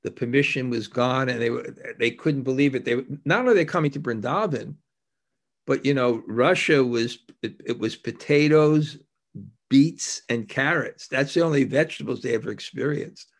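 A man with a creaky, gravelly voice at a middle pitch gives a devotional talk. He speaks as a religious teacher, telling anecdotes in a reflective, conversational way, halting and repeating words for emphasis. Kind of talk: anecdotal spiritual discourse, storytelling between scripture readings.

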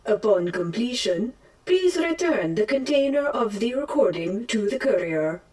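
A woman playing a formal mission-log assistant in an audio drama, making measured, procedural announcements. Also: on the high side, sing-song, darkish tone, far from the mic. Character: formal female mission-log assistant of a time-travel force.

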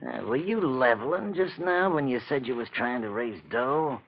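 A man's voice: medium pitch, animated, moderate pace. A man in a 1940s radio drama playing a pushy, streetwise small-time stick-up crook who talks in tough slang and clipped commands. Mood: pushy, persuading. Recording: muffled, noisy 1940s radio recording.

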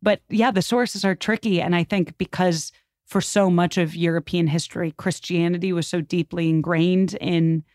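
A woman's voice: lowish voice, steady tone, normal speed, a little mellow, no echo, studio quality. A woman guest on a podcast talking history in an informal, emphatic storytelling way. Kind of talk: conversational history discussion.

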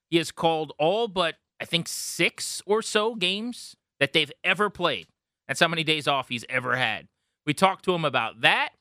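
Bright, forceful American male sports host. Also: on the high side, dry recording, studio quality. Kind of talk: sports commentary and interviewing.

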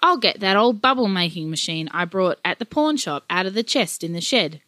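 A woman's voice: medium pitch, animated, brisk, fairly bright tone, dry recording, clean studio sound, close to an everyday voice. Woman doing a grumpy grandad. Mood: smug. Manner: gruff, dismissive. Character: lazy grumpy old grandad, set in his ways.

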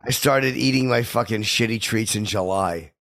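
A man's voice: on the low side, normal speed, fairly bright tone. A man co-hosting a podcast whose voice is a little scratchy, talking bluntly, profanely and self-deprecatingly in casual chat. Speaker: podcast co-host.

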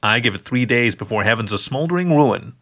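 A man's voice: medium pitch, expressive, a little fast, fairly bright tone, natural voice. A man voicing a wry lion-person.

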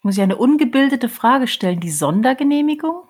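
A woman's voice: high-pitched, expressive, brisk, darkish tone, slightly rough. A woman co-hosting a podcast in a casual, chatty style. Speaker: podcast co-host.

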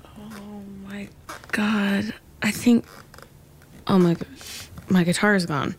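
A woman's voice: mid-range voice, fairly even intonation, slow pace, slight background noise, lightly acted. A young woman voicing a distraught musician in an audio drama, frantic and swearing, snapping in frustration. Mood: shocked. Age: young adult.

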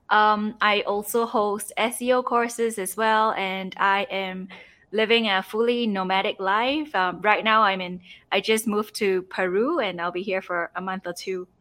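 A young woman from Singapore, a travel blogger guest, talking in a relaxed way with many "um"s and "like"s. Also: mid-range voice. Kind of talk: casual interview chat.

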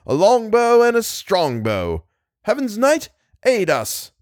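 Male narrator as spectral medieval bowmen, archaic and fervent, high swooping shouts, storybook battle chant. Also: wildly swooping pitch, slow, dry recording, clean studio sound, exaggerated voice. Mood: exultant, imploring. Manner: fervent archaic war cries. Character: ghostly host of medieval English bowmen shouting battle prayers. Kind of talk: dramatised storybook reading.

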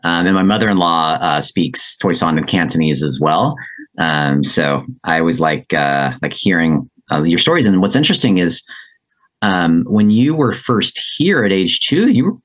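A man's voice: low-pitched, lively intonation, normal speed, a bit bright. A man hosting a podcast, upbeat and encouraging, asking questions with many fillers. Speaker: podcast host. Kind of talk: conversational interview.

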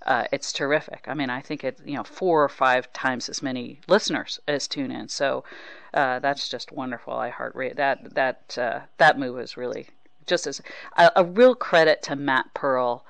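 Woman sports podcast host, smooth clear voice, chatty interview style, pretty dry sound. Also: a bass voice, normal speed, no room sound.

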